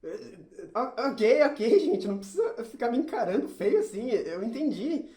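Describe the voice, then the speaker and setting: A woman's voice: a little high, animated, moderate pace, dark tone, lightly acted. Woman playing a hesitant, echoey-sounding doubter. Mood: flustered. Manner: stammering, unsure. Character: hesitant, doubtful listener slowly catching on. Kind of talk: audio drama acting.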